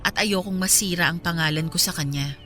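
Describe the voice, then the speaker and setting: A woman's voice: on the low side, fairly quick, sharp tone, soft background music. A woman with a Filipino accent playing a hurt, resolute young mother in a radio drama, firm and reproachful.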